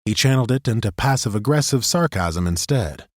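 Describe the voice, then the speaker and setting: A male narrator reading a fantasy audiobook in a steady, even storytelling style. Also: medium pitch, fairly quick, no room sound, clean studio sound.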